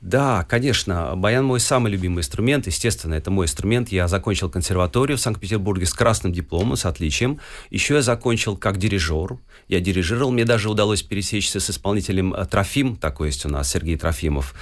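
A man's voice: low-pitched, quick pace. A middle-aged man from Russia answering interview questions as a guest musician, telling his story fluently with some fillers.

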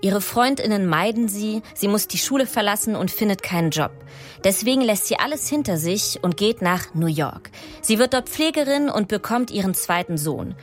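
A woman's voice: mid-range voice, expressive, normal speed, faint music behind. A woman hosting a podcast, moving between scripted narration and addressing guest and listeners. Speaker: podcast host.

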